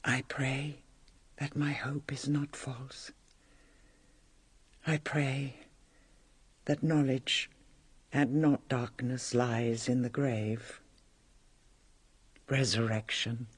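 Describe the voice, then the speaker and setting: A woman playing a grief-stricken, guilt-ridden royal mother in a solemn radio drama, speaking in a measured, mournful manner. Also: extremely low pitch, little pitch movement, breathy edge, no echo, exaggerated voice. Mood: subdued, penitent.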